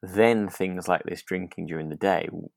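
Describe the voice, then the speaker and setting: Very low-voiced man, chatting. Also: fairly even intonation, normal speed, creaky, no echo. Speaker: podcast co-host. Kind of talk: relaxed conversational discussion of a radio drama.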